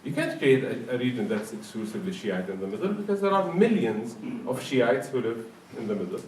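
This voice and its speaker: A man from Iraq with an Iraqi accent gives an explanatory public talk in an emphatic, halting way, often repeating words. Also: medium pitch, big pitch swings, a little mellow. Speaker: speaker at a public forum. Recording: roomy, distant mic with faint noise.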